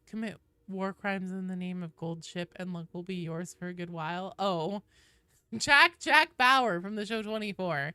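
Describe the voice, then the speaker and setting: A woman's voice: on the low side, moderate pace, sharp tone, clean tone, no room sound. A woman chatting casually to viewers as a game livestreamer, playful and joking, with some swearing. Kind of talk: casual livestream chat while gaming.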